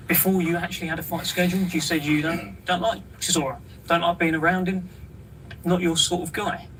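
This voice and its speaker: A man's voice: a little high, fairly bright tone. A man interviewing boxers, asking probing, direct questions. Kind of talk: sports interview. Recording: distant mic with faint noise.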